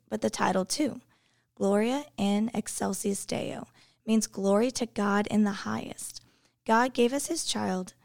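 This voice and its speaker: Creaky-voiced woman giving a devotion. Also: mid-range voice, darkish tone, dry recording, studio quality. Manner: earnest, reflective, storytelling. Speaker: devotion speaker, English teacher. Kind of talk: personal devotional reflection.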